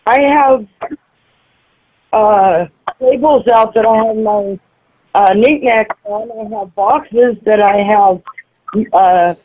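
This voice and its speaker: A woman's voice: mid-range voice, slow pace, pauses often, no room sound. Baffled woman on a muffled phone line. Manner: baffled, defensive. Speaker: yard sale seller answering a prank call. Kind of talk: unscripted phone conversation.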